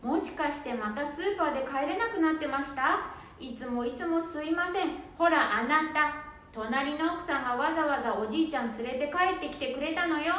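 Woman acting high-voiced polite housewife, very echoey. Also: animated, fairly quick. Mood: polite, bustling. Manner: polite, domestic, gently complaining. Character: polite, patient city-born daughter-in-law, harried housewife. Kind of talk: amateur radio drama acting. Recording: very echoey room, faint noise.

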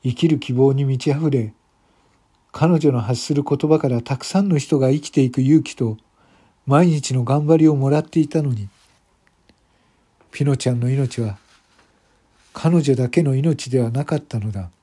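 Mellow, brooding male drama narrator. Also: medium pitch, moderate pace, pauses often, husky. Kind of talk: scripted first-person dramatic narration.

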